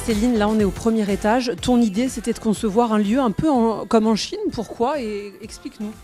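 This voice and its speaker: A woman's voice: fairly high pitch, fairly quick, warm tone, faint music behind. A woman hosting a TV food show, upbeat and full of praise as she presents. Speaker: TV show host.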